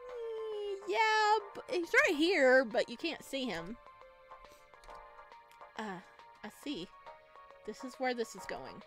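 A woman streaming a visual novel, reading aloud and reacting in a playful, dramatic, expressive way. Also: high voice, slow, pauses often. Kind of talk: let's play, reading game narration aloud with reactions. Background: game music.

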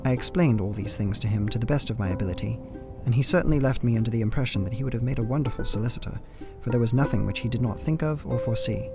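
A man's voice: medium pitch, quick pace, few pauses, natural voice. An actor playing an earnest, uneasy young English solicitor, narrating his journal formally in a radio play. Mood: dutiful, uneasy. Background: plucked guitar music.

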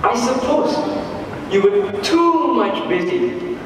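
Slow gentle narrator in an echoey hall. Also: male voice, very high-pitched, very animated, background noise. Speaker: narrator of a stage pantomime. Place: large hall, voice over speakers. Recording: echoey hall recorded from far off.

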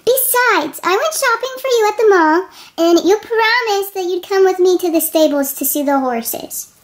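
Woman as a gushing, very high-voiced young girl. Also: animated, normal speed. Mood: pleading. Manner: gushing, breathless. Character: excitable, sweet young horse-loving girl.

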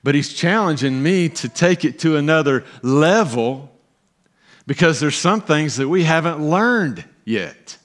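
Middle-aged man preaching from a stage. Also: a little high. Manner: animated preaching with pauses and emphatic rises. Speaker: pastor. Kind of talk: sermon. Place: church stage, indoors.

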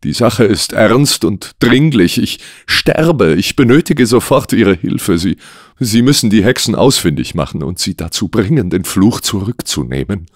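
Man playing a desperate, stammering sick inspector, pitched up. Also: very animated, dry recording, studio quality. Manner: urgent, halting, stammering. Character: desperate, sick police inspector convinced he is cursed.

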